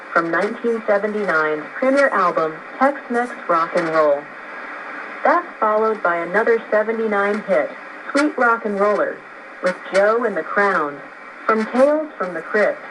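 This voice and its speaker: Female radio presenter, mid-range voice, scripted narration, clearly noisy shortwave recording. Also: medium pitch, expressive, measured pace. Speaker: radio program host.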